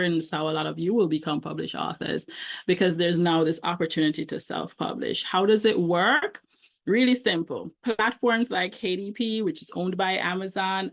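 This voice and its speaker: Middle-aged Jamaican woman, book coach presenting, chatty with many fillers. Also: on the low side. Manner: warm conversational, frequent ums. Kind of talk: online presentation.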